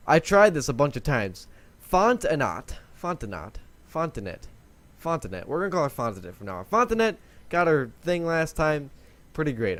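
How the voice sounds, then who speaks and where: Chatty, rambling young man, lively pitch. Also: no echo. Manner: rambling, chatty, self-correcting. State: voice lower than usual today. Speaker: gaming video host. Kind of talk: casual let's-play commentary.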